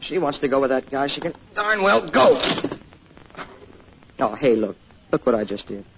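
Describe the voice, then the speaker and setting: An earnest, bashful American small-town teenage boy in a vintage radio drama. He sounds boyish and hesitant, with very changeable pitch. The recording is dull and faintly noisy. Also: big pitch swings, moderate pace, vocal fry. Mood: downcast, earnest. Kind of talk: old-time radio drama acting.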